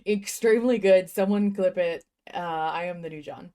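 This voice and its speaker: A woman's voice: fairly low pitch, moderate pace, warm tone, clean tone. A woman hosting a casual fan podcast, talking in an emphatic, slangy way with plenty of swearing. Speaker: podcast host.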